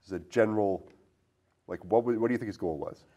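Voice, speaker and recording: A man hosting a casual film discussion, asking probing questions in a rambling, animated way. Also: lowish voice, fast. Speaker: podcast host.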